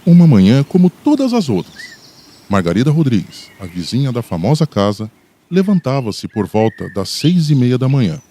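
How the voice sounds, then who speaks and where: A man's voice: medium pitch, sing-song, normal speed, dark tone, a little hiss or hum. A man with a Brazilian accent reading scripted dramatic narration for a radio documentary.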